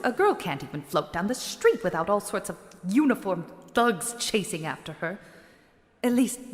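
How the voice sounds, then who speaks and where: A woman playing a vain, haughty female shadowling merchant in a video game, theatrical, self-absorbed and condescending. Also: mid-range voice. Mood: indignant. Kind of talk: dramatic video game voice acting. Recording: long reverb on the voice.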